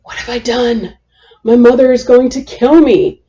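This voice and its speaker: A woman's voice: a little high, a little slow, dark tone, dull audio, natural voice. A woman voicing a stubborn, pleading little girl in a children's storybook. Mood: worried. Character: bored, stubborn, pleading little girl who loves markers. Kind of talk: children's storybook read-aloud.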